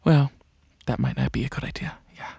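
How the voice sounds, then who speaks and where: A man playing a gentle dragon alien prince in a soft-spoken ASMR roleplay, talking to the listener in a hesitant, soothing way with many pauses. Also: mid-range voice, vocal fry, husky, no echo, highs cut off. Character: gentle dragon alien prince, centuries-old royal researcher, softly coaxing.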